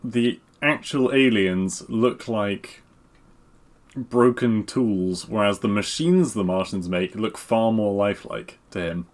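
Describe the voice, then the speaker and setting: A man's voice: lowish voice, slow. A man co-hosting a podcast, chiming in on a casual book discussion in an informal, wry way. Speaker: podcast co-host.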